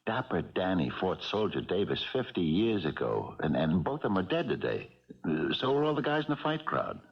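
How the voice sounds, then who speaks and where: A man playing an older, haunted retired American policeman, speaking haltingly in 1970s radio mystery style. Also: low voice, big pitch swings, husky, exaggerated voice. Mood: gently coaxing. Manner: halting, hesitant, colloquial. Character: Jerry Roman, old retired policeman, wistful, haunted storyteller. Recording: dull 1970s radio broadcast, music behind.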